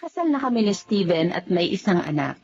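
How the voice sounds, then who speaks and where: Expressive young Filipina sweetheart. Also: female voice, medium pitch, fairly quick. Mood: contented. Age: young adult. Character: emotional, tender-hearted young Filipino woman in love. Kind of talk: Tagalog radio drama acting. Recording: dull radio recording with music.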